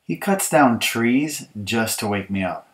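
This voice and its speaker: A man's voice: mid-range voice, lively intonation, measured pace, fairly bright tone, slight background noise. Man reading as exasperated kid sibling, emphatic storybook complainer. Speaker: author reading his audiobook. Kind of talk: children's picture-book storytelling.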